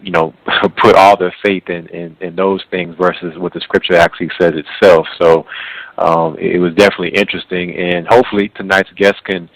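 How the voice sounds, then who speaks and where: A man hosting a conversational radio interview, speaking haltingly and often repeating words. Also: sits low, flat delivery, no echo, poor audio. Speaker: radio show host.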